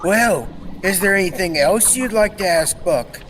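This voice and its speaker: A young man playing a fussy, excitable inventor in an old-time radio serial. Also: high-pitched, unhurried. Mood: pleased, assured. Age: young adult. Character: excitable male scientist and inventor proud of his machine. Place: school stage production. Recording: echoey hall with background noise.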